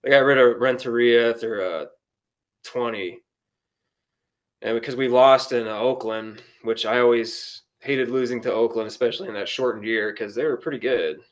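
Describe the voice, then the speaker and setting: A man's voice: medium pitch, steady tone, moderate pace. A man of about thirty-one chatting casually as a guest sports fan, with a rueful, self-deprecating humour. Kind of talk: casual chat.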